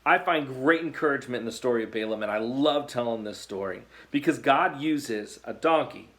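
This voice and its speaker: An American man, a youth pastor, tells a Bible story to junior high students in a conversational way, reading scripture and breaking off to comment. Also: normal speed, fairly bright tone.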